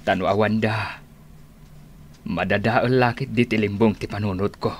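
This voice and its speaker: A sorrowful older man played by a male actor talks in a low voice with an Ilocano accent. He sounds bereaved and grateful, in a radio serial with slight background noise. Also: steady tone, normal speed, lightly acted. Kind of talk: radio drama acting.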